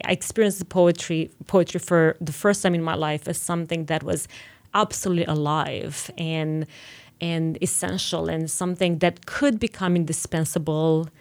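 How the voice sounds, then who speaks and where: A woman from Romania speaks English with a Romanian accent, her voice smooth and somewhat low. She talks with frequent pauses and restarts, then reads poetry slowly and deliberately. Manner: hesitant, restarts often when speaking freely; slow and deliberate when reading poems. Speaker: poet guest. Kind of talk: interview talk and poetry reading.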